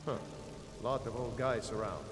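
A male actor plays a shady, brusque tavern keeper in a fantasy game. He is curt and dismissive, at a middling pitch. The sound has long reverb and noise. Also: normal speed, natural voice. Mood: cagey, dismissive. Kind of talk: video game voice acting.

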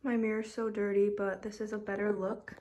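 A woman's voice: medium pitch, level tone, a little fast, warm tone. A seventeen-year-old girl from Canada talks to her camera in a casual vlog. She sounds upbeat and chatty, with plenty of "like" and "literally". She is recorded at home in her bedroom, with the phone held at arm's length. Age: 17, teenager. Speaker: vlogger.

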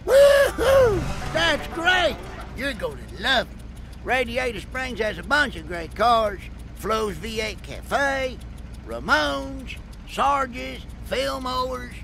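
A man's voice: extremely high pitch, big pitch swings, takes it slowly, gravelly, noisy background, exaggerated voice. A man playing a cheerful, dim-witted country tow truck in a game, folksy and exuberant with a Southern US accent.

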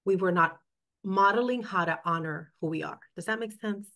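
A woman's voice: lowish voice, normal speed, fairly bright tone. A woman from New York, a former principal, co-hosting a webinar over a video call and reflecting aloud while reading chat comments. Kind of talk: conversational webinar discussion.

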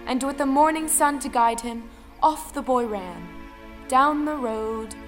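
A woman's voice: sits high, a little slow, faint music behind. A woman narrating a folktale audio play as a storyteller. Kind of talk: dramatic scripted storytelling narration.

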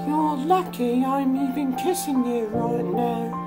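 A man voicing a bossy, exasperated teenage girl with a sharp tongue in an amateur comic-book dub. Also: extremely high pitch, fairly even intonation, takes it slowly. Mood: scolding. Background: music.

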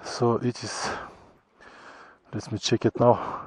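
A man's voice: mid-range voice, little pitch movement, breathy edge, no room sound. Bulgarian man vlogging on a mountain pass. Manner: warm, grateful, halting non-native English with restarts. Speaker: motorcycle travel vlogger. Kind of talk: casual travel vlog talk to camera. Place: high mountain pass outdoors. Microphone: action camera on helmet or in hand.

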